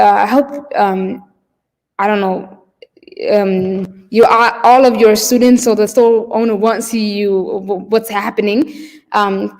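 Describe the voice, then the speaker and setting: A woman telling her own stories at a conference over a video call. She speaks haltingly, circling back with fillers and restarts. Her voice rings out with a long, hall-like reverb. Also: mid-range voice, darkish tone. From Somalia. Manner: hesitant, many fillers and restarts. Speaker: keynote storyteller. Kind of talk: personal storytelling.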